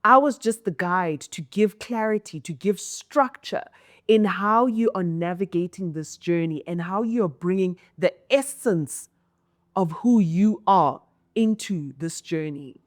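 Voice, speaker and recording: A South African woman with a low, commanding voice talks through an interview answer as a mentor. She speaks emphatically, doubles back on her words, and laughs easily between thoughts. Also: unhurried. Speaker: mentor and entrepreneur.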